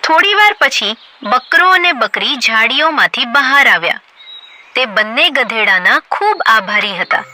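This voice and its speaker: High-pitched woman narrating a children's story. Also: animated, unhurried, sharp tone, slight background noise.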